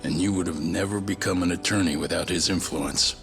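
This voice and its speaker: A stern, protective male mentor in a game cutscene. His low, gravelly voice is blunt and insistent, admonishing over faint music. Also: fairly even intonation, a little fast. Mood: firm, urging. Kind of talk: video game cutscene acting.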